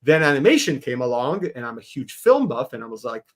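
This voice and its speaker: A man's voice: mid-range voice, sing-song, brisk. A man, a Hebrew speaker, talking animatedly as a guest in a casual podcast interview, laughing often. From Israel. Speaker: guest artist.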